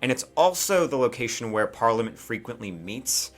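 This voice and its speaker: A man's voice: mid-range voice, expressive, normal speed. A man narrating a tabletop game as game master in a casual, conversational way, improvising as he goes and often checking in with his players. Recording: faint music behind the voice. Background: faint music.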